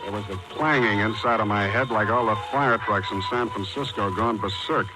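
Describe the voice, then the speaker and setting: An American actor playing a hardboiled, wisecracking private detective in a 1940s radio drama, terse, slangy and sardonic. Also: male voice, fairly low pitch, normal speed, natural voice, low-quality recording. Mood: grim, weary. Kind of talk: 1940s radio detective acting, first-person narration. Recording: 1940s radio recording with music behind.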